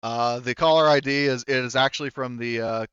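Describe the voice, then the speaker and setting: A man speaking as game master, casually narrating a tabletop roleplaying game in a halting, improvised way. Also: mid-range voice, steady tone, fairly bright tone, smooth, no echo, muffled recording. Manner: halting, informal, loosely improvised delivery.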